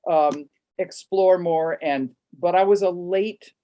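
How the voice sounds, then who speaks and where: A woman opera singer on a panel, with a low speaking voice, telling her story in a relaxed, reflective way. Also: slow. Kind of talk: panel discussion.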